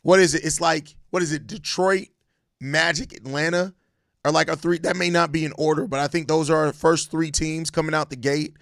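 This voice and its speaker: Hoarse, raspy American man, creaky voice. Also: a little high, steady tone, normal speed, a bit bright, dry recording, clean studio sound. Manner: slangy, emphatic, repeats phrases. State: hoarse, voice going out from overuse. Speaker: solo sports podcast host. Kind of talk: casual live-stream sports chat answering viewer comments.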